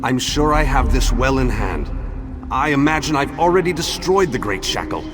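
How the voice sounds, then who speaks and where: An actor playing a pompous, self-assured great wizard in a video game, speaking in a grand, formal, theatrical way. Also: male voice, mid-range voice, animated, moderate pace, background noise, natural voice. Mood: smug, confident. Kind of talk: video game character acting. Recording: loud music behind the voice.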